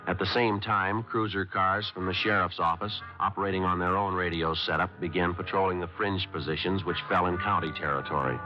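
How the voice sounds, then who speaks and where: An actor playing a terse police sergeant who narrates his cases in a clipped, flat deadpan, from a muffled 1950s radio drama. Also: low-pitched, natural voice.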